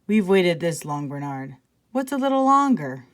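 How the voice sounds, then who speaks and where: A woman reading aloud as a steady, reassuring father in a children's picture book. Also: fairly low pitch, moderate pace. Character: warm, steady father of a young boy.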